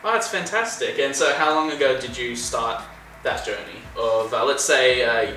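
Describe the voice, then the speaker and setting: A man's voice: medium pitch, normal speed, sharp tone, slight background noise. A man hosting an interview podcast, chatting casually and enthusiastically, often calling things incredible. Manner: enthusiastic, keeps saying "incredible". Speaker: podcast host. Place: room in a home. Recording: very echoey room, mic at a distance.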